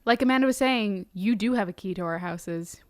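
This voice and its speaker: A woman's voice: medium pitch, a little fast, no room sound. Casual female co-host, chatty and informal, mid-range voice, recorded very dry. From Long Island, New York, USA. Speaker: podcast co-host. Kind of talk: casual conversational podcast chat.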